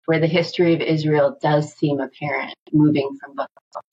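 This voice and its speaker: A woman professor calmly and methodically explaining a teaching on a podcast. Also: low-pitched, a little fast, muffled recording, slight background noise. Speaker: Hebrew Bible professor. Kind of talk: explanatory academic talk.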